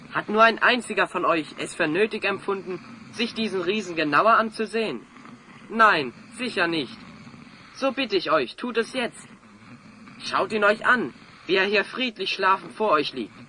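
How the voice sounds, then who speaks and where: Bright, earnest young hero. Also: male voice, sits high, slight background noise, full character voice. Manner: earnest, emphatic, declamatory. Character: brave, righteous young male hero. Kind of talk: German audio drama acting.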